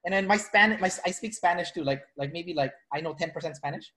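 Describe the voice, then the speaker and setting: A man's voice: high-pitched, fast talker, sharp tone. A man from the Philippines hosting a casual interview, talking in loose, rambling sentences full of fillers and restarts. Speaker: interviewer, personality trainer. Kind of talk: casual video-call interview.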